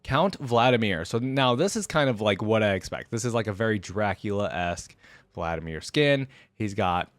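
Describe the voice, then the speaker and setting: A man's voice: fairly low pitch, lively intonation, moderate pace, no room sound. A man giving casual reaction commentary as a host, chatty and informal, thinking aloud as he goes.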